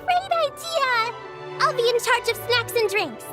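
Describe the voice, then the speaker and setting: A woman's voice: very high-pitched, lively intonation, unhurried, music in the background. A woman voicing a sweet, bubbly, playful little girl witch in an anime-style video game. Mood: bubbly, welcoming.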